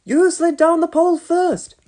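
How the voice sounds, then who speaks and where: A woman's voice: very high voice, fairly even intonation, unhurried, warm tone, voice pushed up. A woman reading a storybook, voicing a doting, flustered mother who coos and frets. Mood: alarmed. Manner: cooing, fretful.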